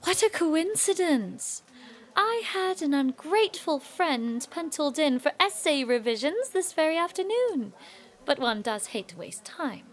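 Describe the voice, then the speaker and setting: An actress playing a sharp-witted, teasing young noblewoman student in an audio drama, with an arch, dry delivery. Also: high-pitched, sing-song, a little slow.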